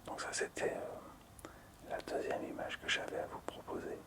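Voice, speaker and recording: A man hosting casual ASMR in a breathy, unvoiced whisper, hushed, hesitant and appreciative. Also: normal speed. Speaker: ASMR video host.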